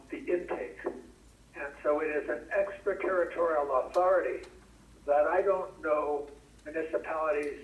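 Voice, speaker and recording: Guy on speakerphone at a public meeting, a bit high voice, echoey and far off. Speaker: county administrator on the phone. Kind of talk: public meeting answers by phone. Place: meeting room, heard over a speakerphone. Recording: phone voice on a speaker in an echoey room.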